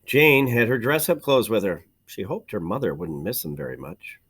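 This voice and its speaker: A man reading a children's picture book aloud in an expressive, measured storytelling manner, stressing key words. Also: mid-range voice, gravelly, breathy edge. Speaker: storybook reader.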